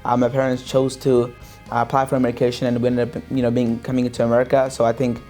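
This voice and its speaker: Young guy from pakistan, chill, lots of uhs and ums, faint guitar under him, mid pitch. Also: quick pace. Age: young adult. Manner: relaxed, many fillers and restarts. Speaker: sales business owner. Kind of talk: interview testimonial.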